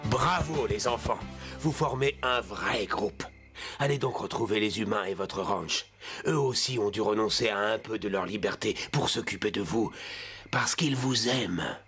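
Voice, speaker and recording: An actor playing a wise, kindly old wild stallion in a French cartoon dub, speaking in a measured, teaching way. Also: male voice, on the low side, a bit bright, faint music behind. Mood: kindly, proud.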